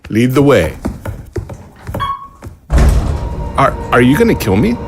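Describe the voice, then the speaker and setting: An actor playing an uneasy, dryly sardonic male research scientist in an audio drama, halting under pressure. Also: medium pitch, big pitch swings, music in the background. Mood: nervous.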